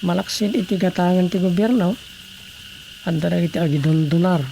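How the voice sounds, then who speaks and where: An actor with an Ilocano Filipino accent playing a married writer who muses aloud to himself in a radio drama. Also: male voice, sits high, fairly quick, creaky, dark tone, a little hiss or hum, low-quality recording. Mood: musing, concerned. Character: married man, a writer musing on world troubles.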